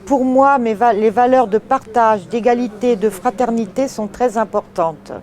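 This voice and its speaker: A woman involved in local associations speaks sincerely and steadily in a campaign testimonial. Her voice sits a little high yet sounds mellow. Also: normal speed. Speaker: neighborhood association volunteer.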